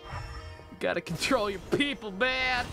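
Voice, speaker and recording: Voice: mid-range voice, a little slow, vocal fry. A video game streamer giving casual, joking gameplay commentary. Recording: game music behind the voice. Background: video game music.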